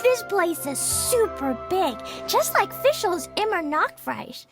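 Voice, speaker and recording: A woman's voice: very high voice, big pitch swings, slow, full character voice. A woman voicing an innocent, bubbly little adventurer girl in a video game cutscene, childlike and earnest. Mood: curious, thoughtful. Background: background music.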